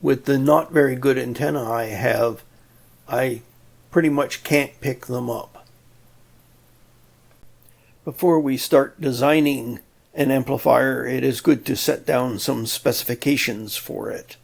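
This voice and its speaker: A man narrates a technical tutorial at a very unhurried pace. His voice has a noticeable gravelly fry, and he explains methodically in plain words, with a touch of dry humour. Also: mid-range voice, steady tone. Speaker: presenter of an electronics tutorial.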